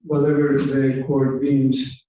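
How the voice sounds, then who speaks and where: Male litigant, slow and halting, flat delivery, muffled echoey courtroom. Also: medium pitch.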